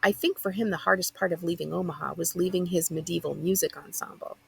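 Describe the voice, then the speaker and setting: A woman's voice: lowish voice, fairly even intonation, quick pace, gravelly, husky, full character voice. A woman reading a children's novel aloud as a self-conscious middle-school boy, wry and blurting. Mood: rambling, wry. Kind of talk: audiobook character dialogue.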